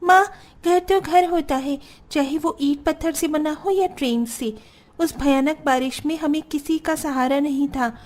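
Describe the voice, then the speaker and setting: A woman plays a hopeful young sister in a cartoon family story. The voice is very high and girlish, with a sweet, earnest delivery. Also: a little slow. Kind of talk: Hindi cartoon family drama voice acting.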